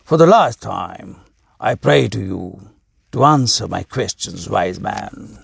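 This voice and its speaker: A man's voice: lowish voice, animated, slow pace, creaky, breathy edge, dry recording, studio quality, voice pushed down, full character voice. A man playing an earnest storybook king, pleading insistently for answers.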